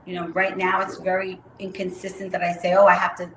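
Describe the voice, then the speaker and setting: A woman guest answering interview questions in a measured, explanatory way, with some fillers. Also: lowish voice, completely flat delivery, a bit bright. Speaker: guest, myofunctional therapist. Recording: roomy with faint noise.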